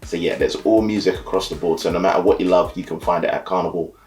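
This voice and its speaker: A man born and raised in the UK who leads a carnival band, explaining carnival in a relaxed, easygoing way, often saying "you know" and "so yeah". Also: deep voice, quick pace, faint music behind. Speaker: carnival band leader and soca DJ. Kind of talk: casual explainer talk to camera.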